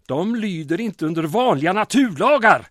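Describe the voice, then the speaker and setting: An actor playing a small-town mayor under occupation in an audio drama, earnest but wry and weary. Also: male voice, high-pitched, big pitch swings, a bit bright, dry recording, studio quality, full character voice.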